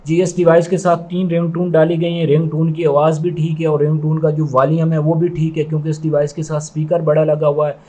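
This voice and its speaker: A man's voice: on the high side, completely flat delivery, fast talker, hardly any pauses, dark tone. A man giving product review commentary, explaining methodically and repetitively, step by step.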